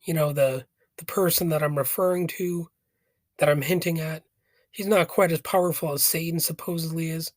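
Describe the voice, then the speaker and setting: A man hosting a podcast with a raspy voice from a cold, commenting casually and rambling with hesitant, jokey asides. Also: a little high, fairly even intonation, moderate pace, no echo, studio quality. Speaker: podcast host. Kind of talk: casual commentary on a philosophy text.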